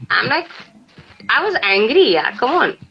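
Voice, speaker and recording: A woman with an Indian accent speaking reflectively on a live poetry session, in a halting way peppered with "you know". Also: fairly high pitch, sing-song, creaky, faint music behind. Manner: halting, peppered with 'you know'. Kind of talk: casual reflective chat and poetry recitation. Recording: dull lo-fi live stream audio.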